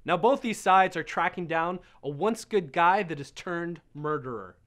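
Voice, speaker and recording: A man's voice: a little high, animated, normal speed, fairly bright tone. A man narrating a game review in a playful, sarcastic way.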